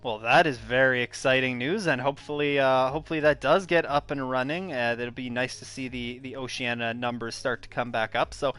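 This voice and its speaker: A male esports commentator closing out a racing broadcast. His voice is smooth and resonant, and he pads his lines with hesitant fillers as he thanks viewers. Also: medium pitch, steady tone, brisk, few pauses, a bit bright, faint music behind. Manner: hesitant, filler-heavy, friendly sign-off delivery. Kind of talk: broadcast race commentary wrap-up.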